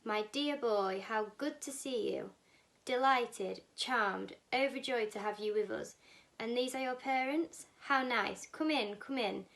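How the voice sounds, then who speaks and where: A woman voicing an eccentric, effusive little gentleman who owns a factory, gushing and courteous, in a storybook reading. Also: on the high side, unhurried, vocal fry. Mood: gushing, delighted.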